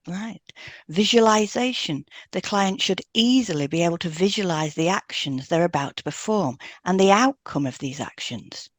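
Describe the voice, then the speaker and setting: Lower-voiced woman on a dry, close podcast. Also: lively intonation, normal speed, dry recording. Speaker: podcast co-host, hypnotherapist. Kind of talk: scripted educational podcast read aloud.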